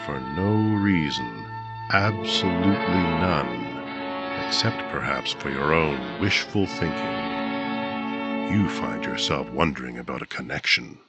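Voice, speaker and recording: A grave true-crime narrator, played by a man, with an extremely deep voice. He delivers measured, portentous lines in vintage radio style. Prominent music plays underneath him. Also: expressive, full character voice. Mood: ominous. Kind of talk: dramatic second-person scripted narration, old-time radio. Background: dramatic music.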